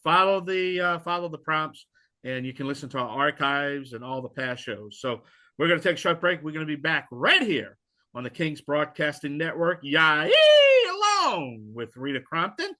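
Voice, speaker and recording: A man's voice: on the high side, sing-song, moderate pace, bright, forceful tone. A man co-hosting a radio talk show, plainspoken and folksy.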